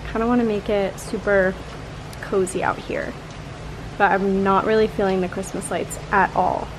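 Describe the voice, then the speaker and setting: A young woman in her twenties chatting casually as she vlogs about decorating her apartment, thinking out loud with lots of filler words. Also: mid-range voice, fairly even intonation, normal speed, noisy background. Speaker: lifestyle vlogger. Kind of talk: casual vlog chat to camera. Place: apartment balcony, car and kitchen.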